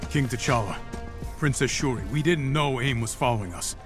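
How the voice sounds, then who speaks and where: A man plays an upright military hero in a game cutscene. He has an American accent and a medium-pitched voice, speaking politely and with plain resolve, with clearly audible orchestral-style music behind him. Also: normal speed, natural voice. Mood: earnest. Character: earnest, steady soldierly superhero captain. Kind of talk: video game cinematic acting.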